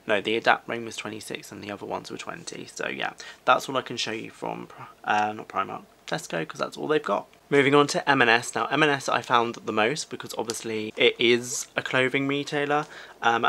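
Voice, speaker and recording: A man's voice: medium pitch, gravelly, bright, forceful tone. A British man in his twenties giving casual, chatty shopping commentary to camera, rambling off into asides. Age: 20s. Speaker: fashion vlogger. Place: small furnished living room, indoors.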